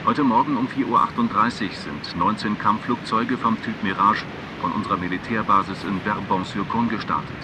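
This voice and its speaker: A man's voice: normal speed, close to an everyday voice. An actor playing a sober male newsreader giving a formal, factual bulletin within a radio drama. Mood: matter-of-fact. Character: sober male military spokesman or newsreader reporting an air raid. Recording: distant voice under loud vehicle rumble.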